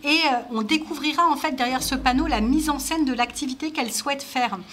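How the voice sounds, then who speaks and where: A higher-pitched woman commentates a live game with mock-sportscaster enthusiasm. She hesitates often with fillers as she searches for words. Faint noise sits under her voice at a night-time indoor pool. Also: fairly quick, fairly bright tone. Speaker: event host and commentator.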